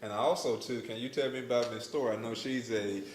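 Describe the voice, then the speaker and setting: A man hosting a casual church interview, enthusiastic and quick with "wow" and "awesome". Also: mid-range voice, steady tone, echoey room. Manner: enthusiastic, says wow often. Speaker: host.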